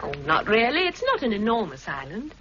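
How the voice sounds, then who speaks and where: A high, very lively young woman with a bright, sparkling tone teases and laughs her way through the scene. It is an old radio drama recording, dull and lo-fi with a faint hiss under the voice. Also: brisk, heavily acted. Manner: lively, playful, laughs easily. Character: young island woman Mary, bright and teasing. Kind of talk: 1960s radio drama acting.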